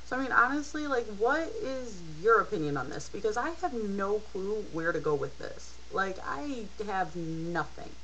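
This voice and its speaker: A woman's voice: medium pitch, lively intonation, moderate pace, few pauses, pushed and bright, a little hiss or hum, low-quality recording. A tired young woman telling a personal story casually, in a candid, rambling and hesitant way, as a video blogger. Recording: rock song playing in the background. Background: rock music playing behind the voice.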